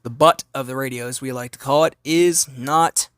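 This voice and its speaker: A man's voice: medium pitch, fairly even intonation, normal speed, fairly bright tone, clear, resonant tone, no echo, studio quality. A man giving a casual hands-on gadget review as a channel host, informal and repetitive as he thinks aloud.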